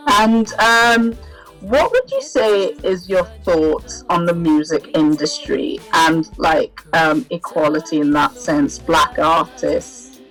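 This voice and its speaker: A woman's voice: sits low, slow, a bit bright, faint music behind, rough recording. A woman asking casual interview questions in a tentative way.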